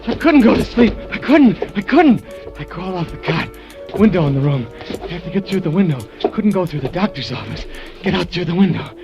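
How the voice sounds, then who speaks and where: A man's voice: sits high, sing-song, fairly quick, creaky, few pauses, exaggerated voice. An actor narrating as a drugged, panicky male factory worker in a 1940s radio drama. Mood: panicked. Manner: breathless, panicky first-person narration. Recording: old radio broadcast with music.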